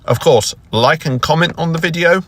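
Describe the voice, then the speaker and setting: A man's voice: on the high side, normal speed, fairly bright tone. A man hosting a hobby video, delivering a prepared introduction to the viewers. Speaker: video host. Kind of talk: scripted video intro to camera.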